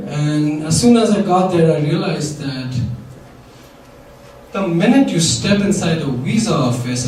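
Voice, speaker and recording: A man's voice: fairly high pitch, background noise. A young man from India telling a wry, funny personal story to a live audience. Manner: wry, dry punchlines with audience laughter. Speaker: storyteller on stage. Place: live event venue. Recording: echoey hall, mic from far. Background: audience laughter.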